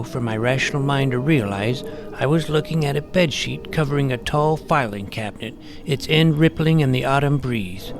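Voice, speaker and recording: A man's voice: medium pitch, gravelly, natural voice. A man playing an earnest, imaginative boy detective, narrating his own case in wordy, mock-serious audio drama style. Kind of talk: scripted first-person narration with dialogue.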